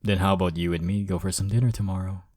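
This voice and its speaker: Deep-voiced, cocky flirtatious college rival acted by a man. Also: steady tone, quick pace, dry recording, studio quality. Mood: playful, teasing. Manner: smug, flirtatious, drawling teasing with soft chuckles. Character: cocky, teasing male college rival smitten with listener. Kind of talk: intimate romantic audio roleplay.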